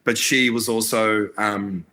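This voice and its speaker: A man's voice: fairly low pitch, fairly even intonation, takes it slowly, pushed and bright. A man, born in Iraq, talking earnestly as a filmmaker guest in a casual interview, with lots of fillers and restarts. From Iraq, raised in Australia. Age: adult, married.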